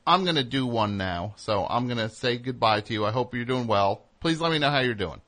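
Male radio host, mid-pitched, bright forceful voice, casual talk, comedic reading aloud. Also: expressive, brisk.

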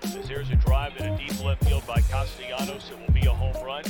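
A man's voice: medium pitch, little pitch movement, a little fast. A man speaking as a baseball broadcaster, making a solemn on-air statement mixed with game commentary. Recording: loud hip hop music over voice. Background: loud hip hop music.

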